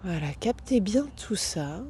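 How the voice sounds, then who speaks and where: A woman guiding a yoga nidra meditation as a teacher, speaking calmly and gently with long pauses between phrases. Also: medium pitch, slight background noise. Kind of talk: guided meditation, soothing instruction.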